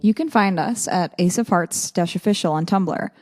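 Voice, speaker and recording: A woman's voice: fairly low pitch, normal speed, a little mellow. A woman announcer reading podcast credits in an informal way. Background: music.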